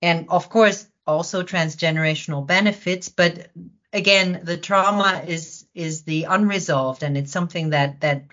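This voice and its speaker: A woman therapist speaks in a lowish voice, feeling her way through her sentences and often repeating words. The recording sounds muffled and dull. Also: normal speed, a bit bright. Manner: searching, repeats words while thinking. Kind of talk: podcast interview.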